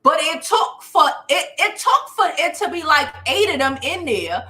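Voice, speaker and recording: An American woman chats to her live viewers in a high-pitched voice, recorded with noticeable room echo. Her delivery is forceful and bright, full of slang. She comes across as a blunt, sassy commentator talking casually through gossip. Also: very animated, normal speed. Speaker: YouTube livestream commentator and host.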